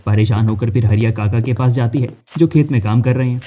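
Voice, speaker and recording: Mellow male cartoon story narrator. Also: mid-range voice, brisk, faint music behind.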